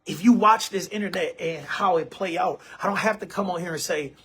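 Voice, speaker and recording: A man's voice: high-pitched, fairly quick, sharp tone, slight background noise. A man in his forties hosting an online show, talking casually to camera in an emphatic, repetitive way and often calling listeners bro and man. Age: 40s.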